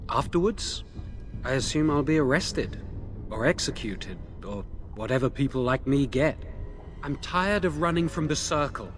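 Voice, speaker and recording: A man's voice: medium pitch, animated, normal speed, soft background music, lightly acted. An actor playing a remorseful, anxious young male blood mage who pleads hesitantly, in video game dialogue. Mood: uneasy.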